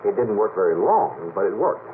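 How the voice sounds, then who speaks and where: A man's voice: on the low side, sing-song, normal speed. A man in a radio panel discussion, arguing in a sardonic, contrarian way with hesitant starts. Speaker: panel guest, sceptical debater. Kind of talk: argumentative radio panel talk. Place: radio studio. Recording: noisy, phone-like old 1950s radio recording.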